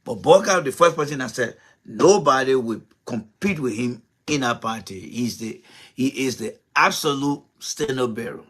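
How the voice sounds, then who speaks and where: A man's voice: medium pitch, expressive, moderate pace, fairly bright tone, breathy edge. Impassioned man hosting a show. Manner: impassioned, rhetorical questions, repeats himself. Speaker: host. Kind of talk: political commentary monologue.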